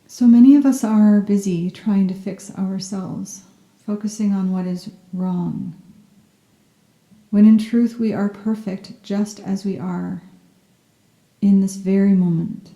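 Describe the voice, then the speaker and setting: A woman leads a guided relaxation as a meditation teacher. Her voice is very warm and dark, sitting at a medium pitch. She speaks softly and soothingly with gentle, unhurried phrasing, and the recording has a noticeably roomy echo. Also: steady tone. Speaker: yoga nidra meditation guide. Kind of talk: guided meditation, scripted narration.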